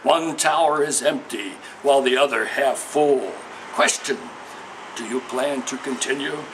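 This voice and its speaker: A man gives a literary poetry reading in a measured, declamatory manner. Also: mid-range voice, fairly bright tone. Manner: measured, declamatory question-and-answer delivery. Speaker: poet reading own work. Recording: noisy ambience behind the voice.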